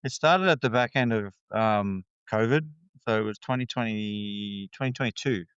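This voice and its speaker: Australian man, smooth voice, dry sound. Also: lowish voice, normal speed, fairly bright tone, no room sound, dull audio. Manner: candid, rambling, many fillers and restarts. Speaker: guest. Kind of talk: casual interview talk.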